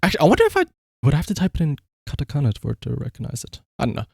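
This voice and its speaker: A man's voice: medium pitch, wildly swooping pitch, very fast, creaky, a little mellow, slightly rough, no room sound, studio quality. A man doing casual live game-stream commentary, swearing freely and repeating short command words.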